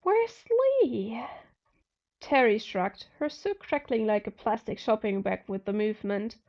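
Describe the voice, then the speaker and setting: A woman's voice: medium pitch, normal speed, a little mellow, lo-fi sound. A woman narrating first-person fiction expressively as an amateur audiobook reader.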